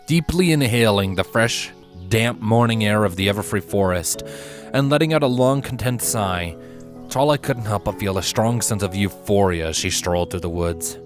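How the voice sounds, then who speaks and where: A man reading scripted fantasy fan fiction aloud as a narrator, in measured, expressive storytelling. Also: fairly low pitch. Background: background music.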